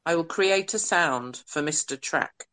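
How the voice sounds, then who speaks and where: Low-voiced woman as a calculating hacker entity. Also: unhurried, a bit bright. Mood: calculating, watchful. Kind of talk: scripted audio drama narration.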